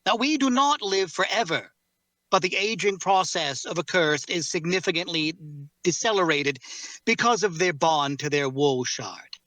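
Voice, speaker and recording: A man playing a formal, guarded cursed warrior in an audio drama table read, speaking courteously in measured, deliberate phrases. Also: high voice, sharp tone, dry recording. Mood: grave, explanatory.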